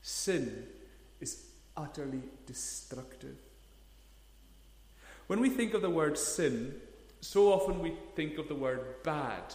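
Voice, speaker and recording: A man's voice: fairly high pitch, expressive, slow pace, darkish tone. A man, preaching a sermon in an earnest, emphatic way, leaning hard on key words. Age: 30s. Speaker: pastor. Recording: echoey hall, long reverb.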